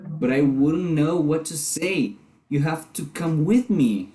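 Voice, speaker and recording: A man's voice: a little high, slow, warm tone, poor audio. A man performing in a readers theater as a guarded husband, skeptical and questioning about a plan to save his wife. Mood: skeptical, resisting. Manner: doubtful, questioning.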